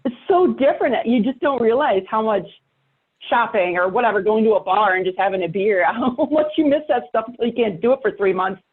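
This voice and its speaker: High husky woman, phone-like sound. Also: animated, a little fast. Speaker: podcast participant. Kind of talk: casual chat.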